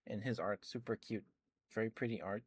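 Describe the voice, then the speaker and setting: A man's voice: lowish voice, a little fast, slightly rough, no room sound. A man doing ASMR as a live streamer, chatting casually and affectionately with viewers close to the microphone. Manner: affectionate, addresses viewers by pet names.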